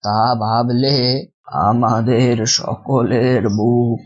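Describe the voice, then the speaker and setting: Grave proud cartoon landlord man acting, very slow, even pitch. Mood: proud, moved. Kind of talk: cartoon dubbing.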